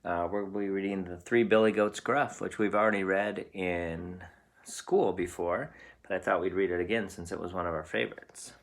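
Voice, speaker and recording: Man, slightly low voice, teacher, children's read-aloud, simple explanatory delivery. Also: steady tone, moderate pace. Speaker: teacher reading aloud to pupils.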